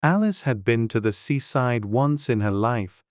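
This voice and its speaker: A woman narrating a storybook as an audiobook, with a measured storytelling delivery. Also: rumbling low, animated, darkish tone, no echo, studio quality.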